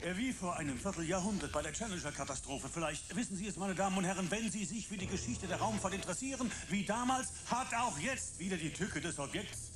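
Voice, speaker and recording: A male live broadcast commentator in a radio drama, with a high-pitched voice over prominent music. He declaims in grand, pompous phrases and plays up every bit of drama. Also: animated, fast, few pauses. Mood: pompous mourning.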